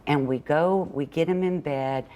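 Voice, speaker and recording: An older woman speaks in a strikingly low register. She talks conversationally as a guest being interviewed, explaining things plainly and in anecdotes. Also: measured pace, mellow, poor audio. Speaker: interview guest, children's book author and illustrator. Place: TV morning show interview.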